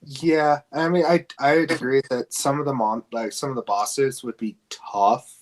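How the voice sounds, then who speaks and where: A man's voice: mid-range voice, steady tone, unhurried. A man hosting a podcast in casual conversation, posing questions and feeling out his phrasing. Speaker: podcast host.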